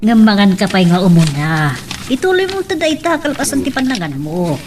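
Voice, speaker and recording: A woman's voice: mid-range voice, sing-song, brisk, lightly acted. A caring, watchful mother in a radio drama, played by a woman. Her speech rises and falls expressively over faint background noise. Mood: fussing. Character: fussing middle-aged mother, caring and watchful. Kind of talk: Philippine radio soap acting.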